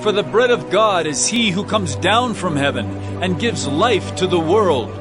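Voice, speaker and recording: A man plays a solemn, authoritative male teacher from a Bible audio drama. His voice is raised high and moves with lively, earnest emphasis. Music plays clearly behind him. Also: a little slow.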